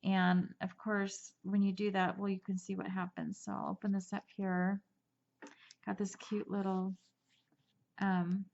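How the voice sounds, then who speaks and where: Chatty woman with a gravelly, muffled voice. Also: medium pitch, darkish tone. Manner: chatty, rambling, with small laughs and restarts. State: sniffly from allergies. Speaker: crafter showing a handmade album. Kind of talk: casual craft show-and-tell.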